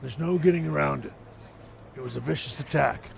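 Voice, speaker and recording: A man voicing a sympathetic wrestling mentor with a gravelly voice in a video game cutscene. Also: a little high, a little fast, slight background noise. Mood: concerned.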